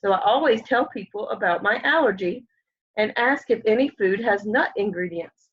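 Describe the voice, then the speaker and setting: A young woman reading a children's picture book aloud in an expressive way, often putting questions to young listeners. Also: mid-range voice, normal speed, husky. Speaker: storybook reader. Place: room at home, indoors. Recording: dull, lo-fi home webcam audio.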